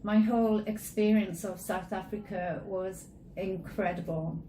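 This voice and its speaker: Woman interview host, mid-pitched, chatty and enthusiastic, echoey room with faint hiss. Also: fairly even intonation, unhurried, warm tone. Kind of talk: conversational interview.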